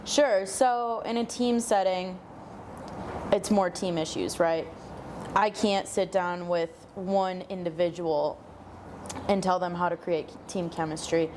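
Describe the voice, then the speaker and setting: A woman's voice: fairly low pitch, steady tone, slow, background noise. A young woman from Minnesota, an interview guest explaining her coaching work articulately, often with quoted examples. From Minnesota, United States. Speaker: guest, sports psychology coach.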